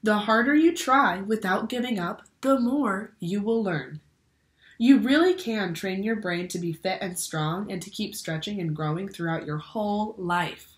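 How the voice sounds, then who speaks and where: Young woman reading aloud in echoey room. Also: mid-range voice. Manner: deliberate, instructive, addressing young listeners. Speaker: teacher or librarian reading to children. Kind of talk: children's picture book read-aloud.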